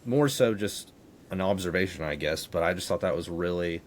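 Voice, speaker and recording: A man hosting a podcast, chatting casually in a rambling, conversational way full of verbal asides. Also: moderate pace. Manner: rambling, conversational, frequent "you know". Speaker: podcast host. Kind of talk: casual chat. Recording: faint background noise, low rumble.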